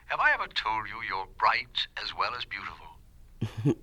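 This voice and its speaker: A man's voice: on the low side, wildly swooping pitch, unhurried, sharp tone, exaggerated voice. An actor playing a smug, arrogant male businessman in a 1970s radio drama, mocking and dismissive. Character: smug male businessman who wronged his partner.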